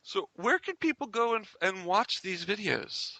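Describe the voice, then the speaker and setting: Male podcast interviewer, forceful tone with heavy fry, informal, close dry sound. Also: sits high, expressive, measured pace, no echo. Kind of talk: casual interview chat.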